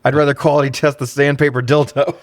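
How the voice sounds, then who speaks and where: A man's voice: mid-range voice, level tone, fast, dry recording, clean studio sound. A man co-hosting a casual, comedic film recap, sarcastic and sweary, often talking with amusement in his voice. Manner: sarcastic, profane, laughs through remarks. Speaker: podcast co-host recapping films.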